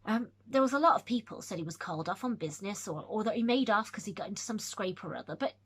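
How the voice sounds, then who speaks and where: A woman voicing an old rustic male servant who tells a grim tale in dialect, hushed and earnest. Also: medium pitch, animated, quick pace, breathy edge.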